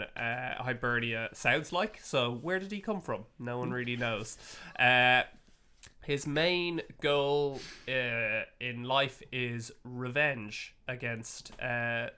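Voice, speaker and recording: A man, a player describing his character in relaxed, casual chat. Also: mid-range voice, vocal fry, clean tone.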